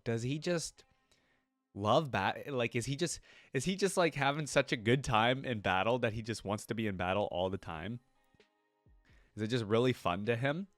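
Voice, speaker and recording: A male reaction host talks in an informal, think-aloud way. His voice sits at a middle pitch. The sound is dry, with little room in it. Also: no echo. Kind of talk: casual reaction commentary and story reading.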